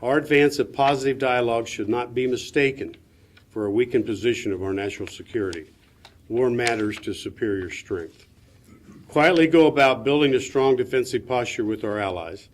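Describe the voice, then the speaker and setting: A man's voice: medium pitch, brisk. A man reading a prepared statement at a public forum, firm, formal and declarative. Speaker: panelist.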